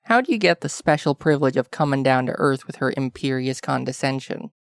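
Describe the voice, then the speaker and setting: A voice actor playing a sarcastic, guarded male rebel prisoner in an audio drama, wry and deadpan. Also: mid-range voice, fairly quick, creaky, smooth, dry recording. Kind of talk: fan fiction audio drama acting.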